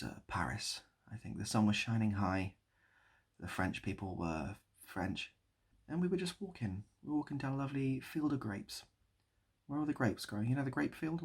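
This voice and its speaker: A man talking casually to camera in a rambling, self-deprecating way with deadpan whimsy. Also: lowish voice, slightly rough. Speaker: video creator and host. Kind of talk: casual improvised monologue to camera.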